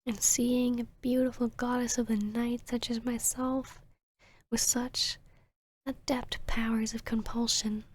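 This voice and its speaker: A woman voicing an arrogant, seductive vampiress hypnotist in a whispered ASMR roleplay, with a breathy, smug, teasing delivery. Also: fairly high pitch, darkish tone. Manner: slow, smug, teasing, deliberate.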